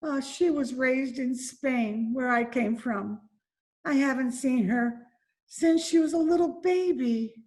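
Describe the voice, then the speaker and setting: A woman's voice: high voice, slow pace, a little mellow. A woman playing a faded, despairing former Broadway dancer and mother from Spain in an amateur radio drama, speaking with bitter drama. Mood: wistful, rueful. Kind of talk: amateur old-time radio drama acting. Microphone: video call recording.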